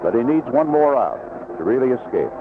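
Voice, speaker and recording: A tinny, narrow old radio broadcast with heavy noise carries a man's baseball play-by-play. His voice is medium in pitch. He describes the field in an unhurried way and lifts his delivery when the ball is in play, in the manner of 1970s sports radio. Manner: unhurried description between plays, rising excitedly on action. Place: outdoor ballpark broadcast booth.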